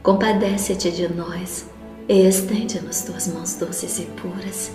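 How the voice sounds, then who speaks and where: A woman's voice: on the low side, fairly even intonation, unhurried, lightly acted. A woman playing a humble, grieving wife in an audio drama, praying in a solemn, pleading manner. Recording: echoey room with loud music bed. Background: prominent background music.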